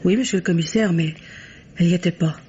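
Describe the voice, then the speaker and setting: An actress playing an anxious, deferential housemaid giving testimony in a radio mystery, hesitant and earnest. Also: female voice, lowish voice, fast, mellow, lightly acted. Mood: flustered, deferential. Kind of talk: French radio mystery drama acting. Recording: dull, noisy old radio recording.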